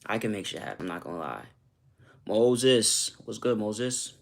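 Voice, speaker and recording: A man's voice: on the low side, very animated, normal speed, vocal fry. A man from Costa Rica, a YouTuber, chatting loosely with his viewers and often asking "you know what I'm saying". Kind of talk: casual livestream chat with viewers.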